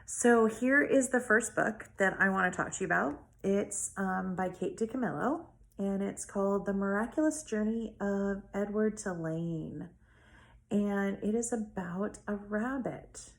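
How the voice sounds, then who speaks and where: Woman teacher with a slightly creaky voice. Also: medium pitch, unhurried. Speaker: elementary school teacher. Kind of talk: casual talk to pupils and storybook read-aloud.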